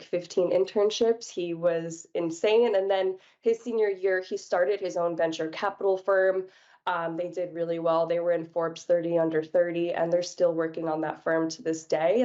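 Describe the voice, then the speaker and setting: Low-voiced young woman telling a story. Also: muffled recording. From New York, United States. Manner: relaxed storytelling with fillers. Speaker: podcast guest. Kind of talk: casual interview chat.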